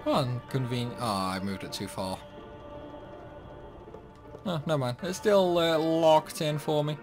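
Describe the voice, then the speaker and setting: Casting: young male gaming host, relaxed chatty commentary, faint game music underneath. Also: on the high side, a little slow. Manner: chatty, self-deprecating, exclaims at mistakes. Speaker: gameplay channel host.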